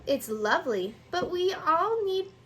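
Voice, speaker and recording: A woman voicing a hearty, brisk old grandfather mouse who skippers a boat in a storybook. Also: very high voice, big pitch swings, a bit bright, a little hiss or hum, pitched above the performer's own voice, exaggerated voice.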